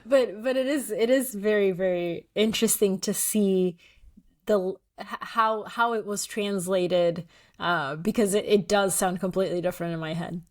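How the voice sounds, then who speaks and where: A woman's voice: mid-range voice, normal speed, darkish tone. Chatty woman author with lots of fillers. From Bulgaria. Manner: chatty, laughs often, many fillers and restarts. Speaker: author and guest. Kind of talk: casual interview conversation.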